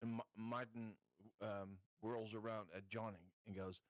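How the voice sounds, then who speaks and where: A man's voice: fairly low pitch, little pitch movement, unhurried, no echo. A man casually talking through a tabletop game as a player, asking questions and thinking aloud.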